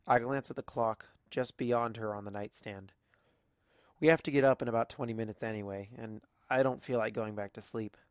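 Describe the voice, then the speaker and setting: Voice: medium pitch, fairly even intonation, moderate pace, dry recording, clean studio sound. An amateur narrator reading a story expressively from a script.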